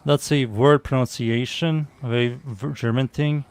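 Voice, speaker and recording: A man's voice: medium pitch, steady tone, a little slow, clear, resonant tone. A young man with a Serbian accent, streaming a game and chatting casually, muttering to himself and reading out viewer messages. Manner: mutters to himself, reads chat aloud, easygoing. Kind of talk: casual live-stream chatter while playing.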